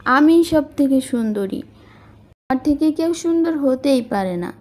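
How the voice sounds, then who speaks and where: A woman's voice: high voice, animated, measured pace, darkish tone. A woman voicing a vain, jealous young landlord's daughter who bullies servants in a cartoon. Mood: jealous, indignant.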